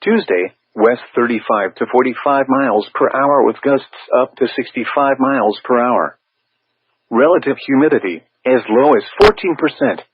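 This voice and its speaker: A synthetic male text-to-speech voice reading scripted weather warning bulletins over weather radio, formal and measured, with stilted phrasing. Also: mid-range voice, breathy edge, no echo. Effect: weather radio broadcast. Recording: tinny narrow-band weather radio.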